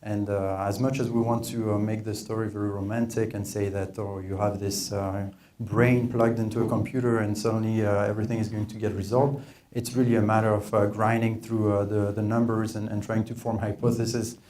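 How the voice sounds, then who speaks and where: Low-voiced man with a French accent. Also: level tone, a little fast, dark tone. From France. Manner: hesitant, many filler "uh"s. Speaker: presenter, PhD student. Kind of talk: conference presentation.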